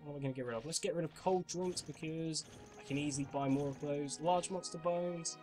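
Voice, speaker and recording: A man's voice: fairly high pitch, brisk, music in the background. A young man giving chatty commentary while playing a game, explaining as he goes, his voice a bit off from a cold coming on. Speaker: gaming channel host. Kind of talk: casual gameplay commentary.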